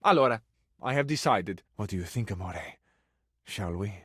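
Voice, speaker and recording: A man's voice: lowish voice, animated, moderate pace, fairly bright tone, husky, dry recording, clean studio sound. A man playing a romantic, grandiose Italian lover in a video game, speaking with an Italian accent in a flowery, amorous, theatrical manner. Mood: sensual, intimate. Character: romantic, grandiose Italian household object come to life, suave male lover.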